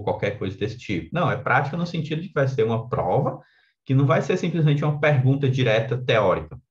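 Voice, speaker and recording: A man's voice: mid-range voice, highs cut off. A man with a Brazilian accent giving an informal explanatory lesson as an exam coach, conversational and often tagging his points with little check-in questions. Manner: conversational, frequent tag questions seeking agreement.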